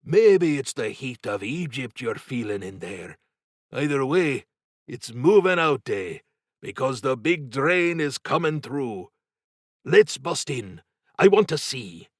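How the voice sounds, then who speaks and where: A man reading an audiobook, voicing a sly, obsessed workman boss with a sharp, urgent, goading manner. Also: mid-range voice, big pitch swings, slow pace, no room sound, clean studio sound, voice pushed up. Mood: excited, eager. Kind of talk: audiobook character dialogue.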